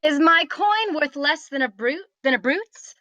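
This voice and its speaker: A woman's voice: high voice, expressive, unhurried, bright, forceful tone, full character voice. A woman voicing a proud, defiant young princess with imperious, formal phrasing in a script table read, on a muffled microphone. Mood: indignant.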